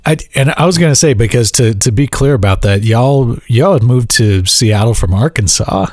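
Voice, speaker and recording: A man's voice: medium pitch, normal speed, darkish tone, dry recording, clean studio sound. A man from Florida hosting a casual interview, enthusiastic and rambling, laughing often. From Florida, USA. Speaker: podcast host.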